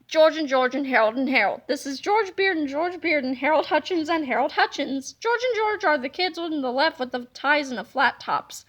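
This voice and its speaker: High bright playful woman reading aloud. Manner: playful, animated, jumps into silly voices. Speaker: reader. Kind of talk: reading a children's comic book aloud.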